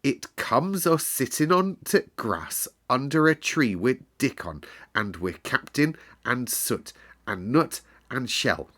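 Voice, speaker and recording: A man's voice: mid-range voice, a bit bright, voice pushed up, heavily acted. A man voicing an earnest little girl in a storybook, proudly and carefully trying out broad Yorkshire dialect. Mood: proud, playful.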